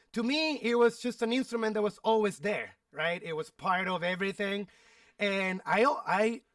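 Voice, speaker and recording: High-voiced man from northern Chile. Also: fairly bright tone, no echo, studio quality, recorded from a distance. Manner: easygoing, tag questions, many fillers. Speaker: teaching artist, musician. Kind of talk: casual explanation on a webcast.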